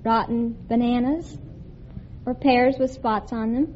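A woman's voice: a little high, steady tone, measured pace, a little mellow. A woman contestant giving brief answers to a quiz show host. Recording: very noisy muffled old radio broadcast.

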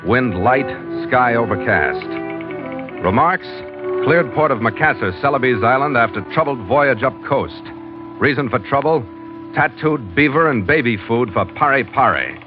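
Casting: man as seasoned, wry ship's captain for radio adventure narration, medium pitch, dulled vintage sound. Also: animated, measured pace, natural voice. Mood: terse, formal. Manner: terse, wry, matter-of-fact. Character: hardboiled, seasoned American ship's master, man. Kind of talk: 1940s radio drama narration. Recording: muffled vintage radio, music underneath.

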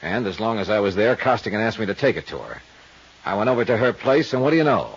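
A man's voice: lowish voice, sing-song, quick pace, breathy edge, lo-fi sound, full character voice. A man acting a hard-boiled, unflappable private investigator in a 1950s radio detective drama, with a clipped, dry, matter-of-fact delivery. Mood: brisk, insistent. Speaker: lead actor and narrator of radio drama.

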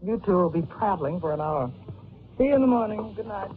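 An actress playing a frank young secretary with a blunt, knowing manner in an old-time radio drama. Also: female voice, mid-range voice, lively intonation, a little fast, soft background music, close to an everyday voice. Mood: tart, knowing. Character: frank, tart young single female secretary. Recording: tinny, hissy old radio recording.

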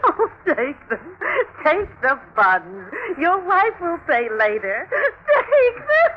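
An actress playing an excitable, teasing German woman in old-time radio comedy-drama, theatrical and exclamatory. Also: very high voice, takes it slowly, exaggerated voice. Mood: tearful laughter. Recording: dull, noisy old radio recording.

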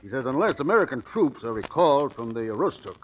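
An actor playing a blunt, soldierly general in old-time radio drama, respectful but doubtful. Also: male voice, medium pitch, very animated, fast talker, muffled recording, close to an everyday voice. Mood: grave, blunt. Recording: old radio recording, faint hiss.

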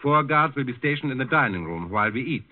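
An actor playing a commanding, suspicious Nazi governor in 1940s radio drama, curt and impatient. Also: male voice, medium pitch, expressive, quick pace, natural voice. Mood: calculating. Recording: tinny old 1940s radio recording.

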